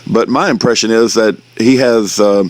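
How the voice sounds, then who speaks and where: Man, lowish pitch, casual phone conversation, folksy wording, halting starts. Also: fairly even intonation. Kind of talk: casual chat.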